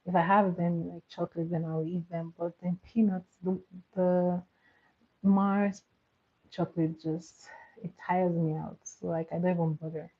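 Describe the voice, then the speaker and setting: A woman's voice: on the low side, level tone, unhurried, slightly rough. A woman from eastern Nigeria with a Nigerian accent, whose first language is Igbo, chatting casually and animatedly with live viewers, with lots of filler words and laughter. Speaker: livestream host. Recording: thin phone-like audio.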